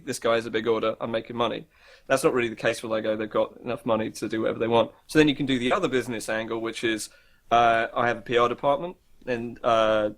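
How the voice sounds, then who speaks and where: A man in a casual, opinionated discussion as a podcast host, thinking aloud in a rambling, informal way and acting out imagined dialogue. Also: mid-range voice.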